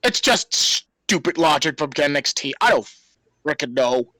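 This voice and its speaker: Bright, higher-pitched male podcaster. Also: very animated, measured pace, no room sound, poor audio. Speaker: podcast co-host. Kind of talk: casual wrestling discussion.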